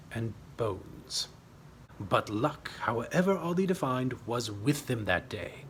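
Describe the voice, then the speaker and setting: A man's voice: mid-range voice, slight background noise. A man reading his own short story aloud as a scripted narration, with a wry, tongue-in-cheek manner.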